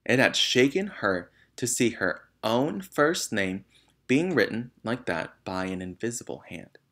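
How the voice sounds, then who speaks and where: A man's voice: mid-range voice, big pitch swings, unhurried, a bit bright. A man reading a children's novel aloud as narrator. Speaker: reader.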